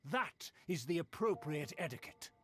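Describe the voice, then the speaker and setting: A man's voice: on the high side, big pitch swings, moderate pace, music in the background. A pompous, sneering nobleman. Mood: haughty. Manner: pompous, lecturing, disdainful. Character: haughty young aristocratic nobleman, condescending and pompous. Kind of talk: video game voice acting.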